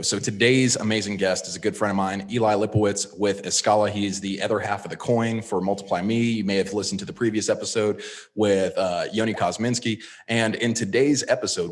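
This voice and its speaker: A man hosting a business podcast, opening the episode upbeat and asking casual questions. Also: fairly low pitch. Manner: upbeat intro, then casual questions with fillers. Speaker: podcast host.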